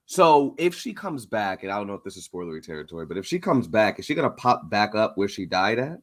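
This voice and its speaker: A man hosting a comics interview show, talking informally and haltingly as he thinks his questions through aloud. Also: lowish voice, big pitch swings.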